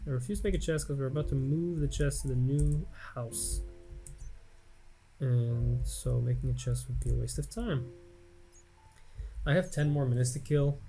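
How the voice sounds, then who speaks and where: A man's voice: medium pitch, dark tone. An American man in his late twenties, rambling and self-mocking as he thinks aloud over gameplay commentary at his home computer. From United States. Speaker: Let's Play gamer.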